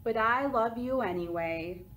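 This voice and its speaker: A woman voicing a patient, loving mother in a picture book she reads aloud, gentle but firm. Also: fairly high pitch, unhurried, rough recording. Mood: fond. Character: patient, loving mother of a lively little girl.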